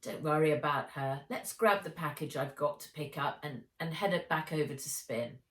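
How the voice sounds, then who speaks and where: A woman's voice: low-pitched, normal speed, close to an everyday voice. Woman as a plucky, earnest young girl heroine. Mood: decisive. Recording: roomy echo, distant from mic.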